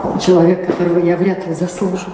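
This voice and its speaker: A woman's voice: lowish voice, little pitch movement, fast talker, close to an everyday voice. Actress as imperious, worried lady of the castle; theatrical radio-play delivery, long reverb, noisy recording. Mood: earnest, insistent. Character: anxious imperious married noblewoman, mistress of a castle. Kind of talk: audio play stage acting.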